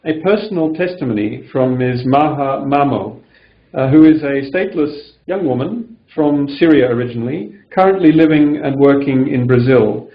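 Male moderator, mid pitch, formal and hesitant, meeting room speakerphone, dull roomy sound. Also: animated. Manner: measured, formal, hesitant with fillers. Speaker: webinar moderator. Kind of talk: moderating a webinar. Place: meeting room with a conference table.

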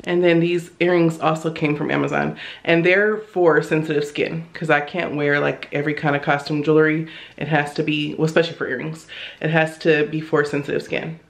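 A woman's voice: sits low, level tone, fairly quick, creaky. A woman in her thirties vlogging casually, talking directly and familiarly to her viewers. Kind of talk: casual chatty vlog. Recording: music behind the voice. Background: background music.

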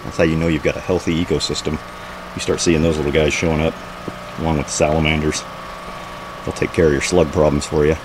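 Man, extremely low voice, casual vlog host, informal unscripted commentary, outdoors, clearly noisy background. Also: little pitch movement, fast talker. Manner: informal, unscripted, pointing things out. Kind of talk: casual garden walk-through commentary. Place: outdoors in a backyard garden. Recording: outdoor camera audio with clear background noise.

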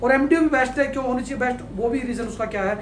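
A man's voice: very high voice, slight background noise. A man in his thirties from India, giving study advice straight to camera with a clip-on mic in a small studio. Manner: fast, energetic, gestures with hands while explaining. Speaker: education counselor. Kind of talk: direct-to-camera advice video. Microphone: clip-on lapel mic. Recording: echoey room with faint ambience.

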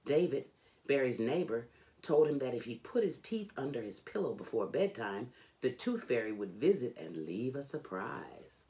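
A middle-aged woman reading a children's book aloud in an expressive, deliberate, emphatic storytelling manner. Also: very deep voice. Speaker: storybook reader. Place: indoors, furnished home room.

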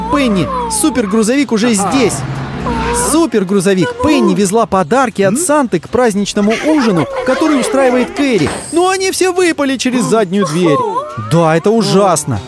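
An expressive children's cartoon narrator who talks to the characters with exclamations and urging, recorded with music behind. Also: mid-range voice, normal speed.

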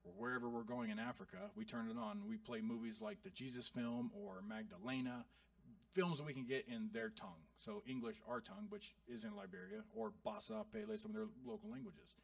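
Lively gravelly man preaching. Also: sits high, brisk, dry recording, far from the mic. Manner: animated, joking storyteller. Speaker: pastor. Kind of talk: sermon. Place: church sanctuary.

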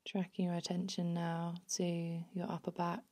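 A woman narrating a guided body scan meditation in a soothing, unhurried, hushed manner. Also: fairly low pitch, monotone.

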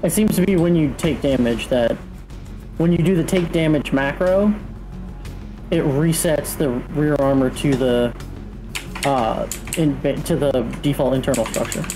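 A man's voice: a little high, measured pace, poor audio. Hesitant man with music behind. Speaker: tabletop game player. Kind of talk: casual game talk. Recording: music running under the voice.

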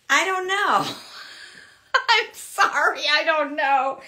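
Brief: woman, 60s, fitness host, bright and fairly high, chatty and animated, home webcam. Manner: chatty, animated, laughs often. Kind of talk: casual live Q&A. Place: home room with shelves, indoors.

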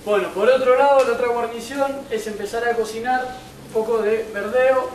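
High-voiced young Argentine male host. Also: fairly even intonation, moderate pace. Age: young adult. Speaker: cooking show host. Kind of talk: unscripted cooking show presenting. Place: winery kitchen and open-air patio. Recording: echoey, distant mic, noisy ambience.

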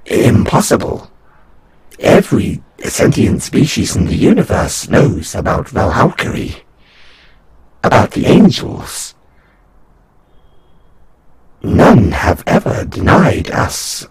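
Man as a very slow, husky alien angel. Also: fairly low pitch, huge pitch swings, darkish tone, exaggerated voice. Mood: incredulous, lofty. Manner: halting, solemn, drawn-out formal delivery. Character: serene, condescending alien angel envoy of an empire. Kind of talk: scripted audio roleplay monologue.

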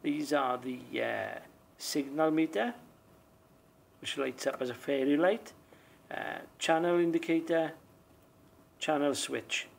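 Higher-pitched man, casual demo. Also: slow, vocal fry, pauses often. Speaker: presenter of a radio demonstration video. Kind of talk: casual hands-on product demonstration. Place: small indoor room or shed.

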